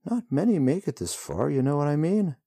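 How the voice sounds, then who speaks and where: A man's voice: a little high, big pitch swings, fairly quick, vocal fry, dark tone, breathy edge, no room sound, studio quality, pitched above the performer's own voice, heavily acted. Man putting on a cheery folksy woman rider. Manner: chatty, folksy, drawling asides. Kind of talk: storybook reading.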